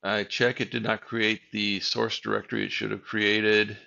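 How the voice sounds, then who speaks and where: A man's voice: fairly low pitch, little pitch movement, moderate pace, gravelly, pushed and bright, dull audio. An older man narrating a screen-recorded server tutorial, explaining each step in a plain, methodical way. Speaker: tech tutorial host.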